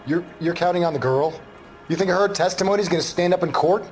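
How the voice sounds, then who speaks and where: An actor playing an indignant, defiant shopkeeper held as a murder suspect in a video game, agitated and combative. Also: male voice, sits high, fairly quick, a little mellow, faint music behind, natural voice. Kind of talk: video game dramatic acting.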